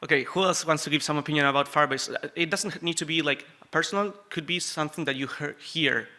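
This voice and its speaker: A young Uruguayan man speaks English with a Spanish accent, his voice very bright and forward. He talks to a conference audience in a loose, joking way, pausing on "um" and "uh" and restarting his sentences. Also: a little high. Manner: chatty, joking, many fillers and restarts. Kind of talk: conference talk.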